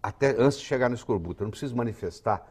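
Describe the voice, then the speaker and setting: A man's voice: medium pitch, animated, very fast, a little mellow, breathy edge. A man in his sixties with a Brazilian accent, a physician giving confident, lecturing explanations as an interview guest. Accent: Brazilian Portuguese. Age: 60s.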